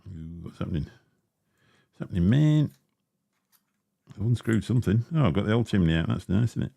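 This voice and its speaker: Deep-voiced bloke rambling on a home livestream, gravelly, dry close mic. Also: male voice, expressive, normal speed, mellow, no echo. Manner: rambling and chatty with many fillers, grumbling asides. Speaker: livestream host. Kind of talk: casual livestream chat while unboxing gear. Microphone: dynamic studio mic close up.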